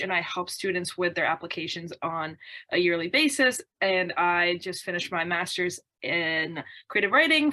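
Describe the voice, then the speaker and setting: Bright-voiced young woman explaining admissions. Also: on the low side. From Bangladesh. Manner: articulate, explanatory. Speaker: admissions coach and guest. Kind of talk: podcast interview.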